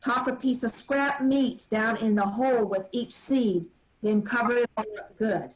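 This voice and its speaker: A woman acts as an old farm grandmother in a staged play reading. Her voice sits fairly high, and she talks in plain country dialect, handing out firm, kindly orders with love underneath. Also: lightly acted, poor audio. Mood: folksy, instructing. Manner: plain rural dialect, brisk kindly commands.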